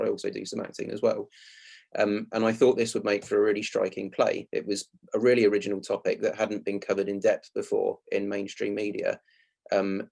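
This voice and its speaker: Guy doing a prepared talk, really croaky fry, pretty flat tone, measured and clear wording. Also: on the low side. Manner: measured, explanatory, articulate. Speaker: playwright and actor giving a talk.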